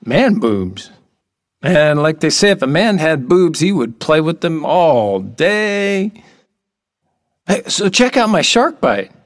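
A middle-aged oddball workman in a radio play, playful but lonely. He talks slowly and haltingly, and his pitch swings widely. He is whimsical and earnest. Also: very animated. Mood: quirky, candid. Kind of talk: audio drama acting.

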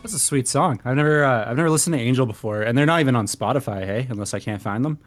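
A man co-hosting a music podcast, easygoing and agreeable in casual chat. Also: medium pitch. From Canada. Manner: easygoing, agreeable, quick reactions. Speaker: podcast co-host.

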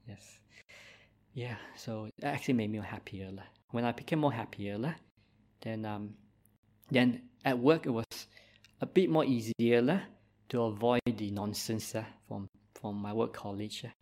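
Malaysian-accented monk giving a gentle talk. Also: male voice, on the low side. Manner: gentle and halting, with frequent "uh" and "lah". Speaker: Buddhist monk. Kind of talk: informal dharma talk.

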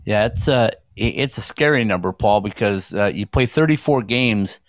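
A man hosting a sports talk show, chatting loosely with a slightly hoarse voice. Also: on the low side, lively intonation, normal speed, dry recording, studio quality. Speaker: show host. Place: home studio in Scottsdale.

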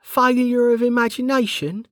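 A woman's voice: medium pitch, unhurried, no echo, clean studio sound, voice pushed up, natural voice. A narrator voicing Bregger, a dutiful and anxious female guard who speaks carefully and earnestly.

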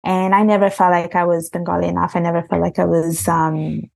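A young woman raised in Italy talks through her thoughts as a podcast guest. Her voice sits a little low, and she speaks earnestly and reflectively. She often circles back and restarts sentences as she thinks. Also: level tone, brisk, creaky, a little mellow. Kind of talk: casual interview talk.

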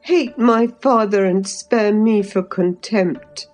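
A woman's voice: mid-range voice, takes it slowly, darkish tone, faint music behind, voice pushed up, close to an everyday voice. A woman voicing a cowardly, self-pitying boy in a storybook, pleading in a whimpering, overwrought way. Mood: self-pitying, wounded.

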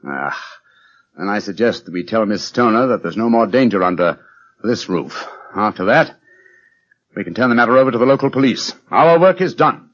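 An actor in an old radio drama playing a commanding gentleman detective, British, middle-aged, with a crisp, declamatory delivery. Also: on the low side, lively intonation, moderate pace. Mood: grave. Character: brilliant, commanding gentleman detective, coolly grave and theatrical. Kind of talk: 1940s radio drama acting. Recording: muffled, lo-fi old radio recording.

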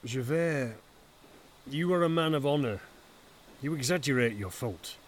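An actor playing a dignified, kindly male mayor with measured authority. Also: medium pitch, animated, a little hiss or hum, close to an everyday voice. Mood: gentle. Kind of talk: radio drama acting.

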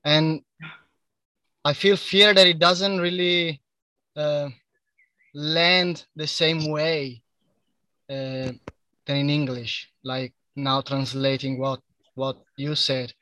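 Man, Italian accent, hesitant, bright tense voice, dry. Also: fairly high pitch, steady tone, slow, pauses often, no room sound. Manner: hesitant, with many fillers. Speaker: workshop participant.

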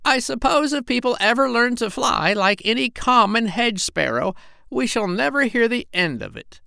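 A man voicing a very old, opinionated female parrot in a children's storybook, haughty and chatty in a squawky falsetto. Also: animated, normal speed, gravelly, bright, forceful tone, clear, resonant tone, dry recording, studio quality, exaggerated voice. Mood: opinionated, musing.

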